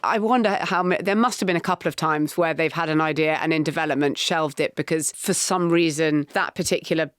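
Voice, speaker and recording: A woman, co-hosting a podcast, chatting casually with quick, wry remarks. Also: low voice, no echo, clean studio sound. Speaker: podcast co-host. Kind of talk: casual chat.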